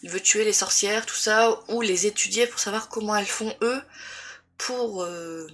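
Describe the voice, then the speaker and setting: A woman's voice: medium pitch, normal speed, fairly bright tone. A woman with a cold and a sore throat, chatting casually about books in a vlog, rambling with lots of fillers and restarts. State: congested with a cold. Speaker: booktuber. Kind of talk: casual book review vlog.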